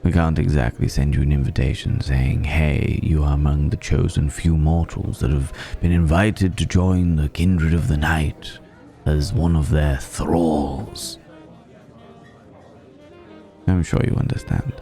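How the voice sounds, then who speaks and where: A man playing a suave, courteous vampire in an intimate ASMR roleplay, speaking in a refined, formal, gently amused way with lingering pauses. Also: a bass voice, steady tone, gravelly, faint music behind, exaggerated voice. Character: suave, courteous male vampire dining with a mortal guest.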